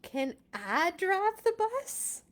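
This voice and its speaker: Woman as whiny, stubborn childish pigeon for a storybook, high voice, big swoops, wheedling and exclamatory. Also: very animated, exaggerated voice. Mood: wheedling, pleading. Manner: wheedling, whiny, exclamatory, dramatically drawn-out pleas. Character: pleading, stubborn, childish cartoon pigeon begging to drive. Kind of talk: animated storybook read-aloud.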